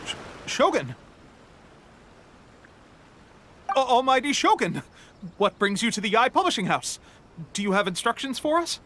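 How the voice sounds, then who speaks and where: A man's voice: very high voice, sing-song, brisk, pushed and bright, a little hiss or hum, exaggerated voice. A man playing a nervous, deferential clerk in a video game, stammering and formal. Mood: startled, anxious. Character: nervous, deferential male publishing house clerk. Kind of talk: English video game voice acting. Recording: faint music behind the voice.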